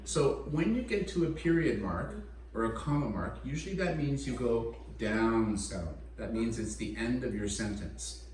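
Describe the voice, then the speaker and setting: A man's voice: medium pitch, expressive, darkish tone, slight background noise. Encouraging male English teacher. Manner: encouraging, explains slowly and simply. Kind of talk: classroom feedback. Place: classroom. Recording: echoey classroom, distant mic.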